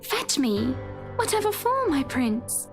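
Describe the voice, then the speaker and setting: Girl doing a creepy coy wife from a visual novel, super slow n high, talks all formal. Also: very animated, over music, heavily acted. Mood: coy, taunting. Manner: formal, drawn-out, coy phrasing. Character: eerie amused wife, a menacing spirit in disguise. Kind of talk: visual novel character voicing.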